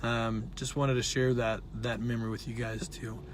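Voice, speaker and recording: A man who is a dietitian and nutrition professor, talking casually and earnestly to his viewers while his voice is raspy from laryngitis. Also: medium pitch, little pitch movement, normal speed, a little hiss or hum. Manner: earnest, conversational, with frequent fillers. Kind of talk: casual talk to camera.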